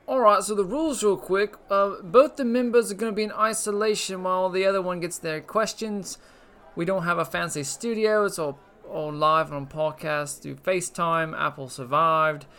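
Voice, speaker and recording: A man doing a squeaky blustering game show host. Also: normal speed, fairly bright tone. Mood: rambling. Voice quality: high squeaky put-on voice with slangy blustering delivery. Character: rambling laid-off cousin hosting a game show, man. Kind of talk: comedic character monologue on a podcast. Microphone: podcast mic.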